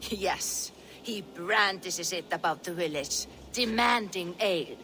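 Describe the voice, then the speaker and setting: A woman's voice: lowish voice, lively intonation, slow, pushed and bright, a little hiss or hum, full character voice. An actress voicing a wary, shaken Saxon peasant woman in a video game. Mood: wary, accusing. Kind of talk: dramatic video game acting.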